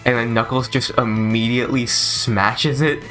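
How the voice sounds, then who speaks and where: A man's voice: mid-range voice, fairly even intonation, unhurried, a bit bright. A man giving a casual, rambling movie review in an informal, enthusiastic, sweary way, like a YouTuber. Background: video game soundtrack music.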